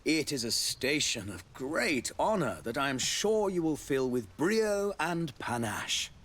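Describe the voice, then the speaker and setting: Husky, courtly fantasy ambassador, animated pitch. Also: male voice, measured pace, heavily acted. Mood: gracious, flattering. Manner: formal, florid, diplomatic. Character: courtly, devout male ambassador of a theocratic nation. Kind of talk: scripted fantasy video game dialogue.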